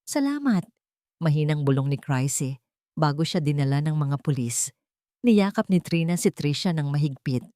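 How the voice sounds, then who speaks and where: A woman's voice: low-pitched, normal speed, warm tone, no room sound, studio quality. A woman narrator reading a scripted story in a dramatic style.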